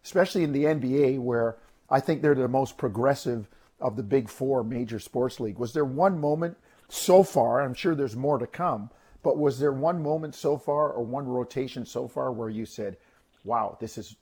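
A man's voice: mid-range voice, normal speed, a little mellow, slightly rough. A man co-hosting a sports show and putting questions to a guest in a conversational style.

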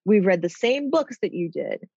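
A woman's voice: mid-range voice, sing-song, normal speed, gravelly, darkish tone, slightly rough, dry recording, muffled recording. Chatty, rambling woman with a nasal voice. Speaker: author and executive guest. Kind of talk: casual interview chat.

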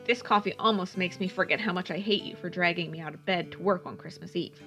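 A woman's voice: lowish voice, quick pace, a bit bright, soft background music. A woman reading the part of a groggy, wry young male student in a story, with a dry, joking manner. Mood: wry, grumbling.

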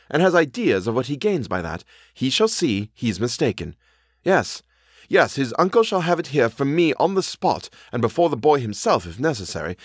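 A man's voice: medium pitch, breathy edge, no echo, clean studio sound, pitched above the performer's own voice. A man voicing a resolute, intense young governess in an audiobook, speaking insistently and decisively. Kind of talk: audiobook character dialogue.

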